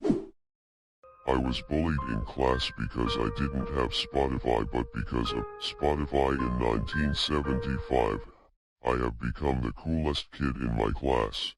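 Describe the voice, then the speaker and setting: Man doing a very deep-voiced schoolkid testimonial. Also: steady tone, a little slow. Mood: mock earnest. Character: bullied schoolkid giving a customer testimonial. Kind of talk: mock advert testimonial. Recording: music behind the voice.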